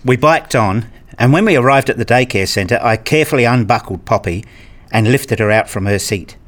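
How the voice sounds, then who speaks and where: A man reading scripted first-person narration for a radio play, telling it in a measured, literary way. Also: medium pitch.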